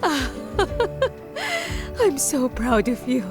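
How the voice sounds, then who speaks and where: Radio drama mother: woman playing loving, frail, proud, emotional, fairly high voice, soft music underneath. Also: huge pitch swings, drawn-out words, exaggerated voice. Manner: tender, emotional.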